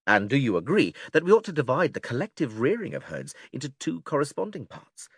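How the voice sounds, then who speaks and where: A man playing a patient, methodical philosopher who leads an argument in a dramatised classical dialogue, measured, formal and questioning. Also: medium pitch, big pitch swings, a bit bright, breathy edge, dry recording, clean studio sound, heavily acted. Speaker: audiobook actor reading philosophical dialogue.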